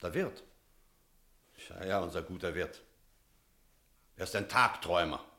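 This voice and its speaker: An actor playing a stern, sceptical village priest in a radio drama, speaking in measured, literary phrases. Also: male voice, low-pitched, pushed and bright, full character voice. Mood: wry. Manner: measured, rhetorical, literary diction. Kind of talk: German radio drama acting with narration.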